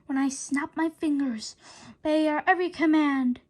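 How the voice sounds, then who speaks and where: A mischievous young schoolboy from a children's storybook, played by a woman reading aloud. The voice is high and eager, with a bossy streak. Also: moderate pace, darkish tone, natural voice. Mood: hypnotic, commanding. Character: mischievous, excitable schoolboy prankster George.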